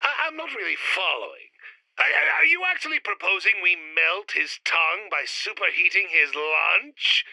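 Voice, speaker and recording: An actor playing a pompous, irritable mad-scientist villain in a retro sci-fi radio play, declaiming grandly and melodramatically. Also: male voice, high-pitched, expressive, normal speed, creaky, bright, forceful tone, no room sound, heavily acted, low-quality recording. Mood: exasperated, grandiose.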